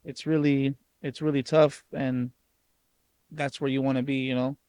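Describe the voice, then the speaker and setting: A man's voice: mid-range voice, little pitch movement, moderate pace, darkish tone, clear, resonant tone. A man, a youth soccer coach hosting a podcast, asking questions and giving his player encouraging advice. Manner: encouraging, mentoring tone.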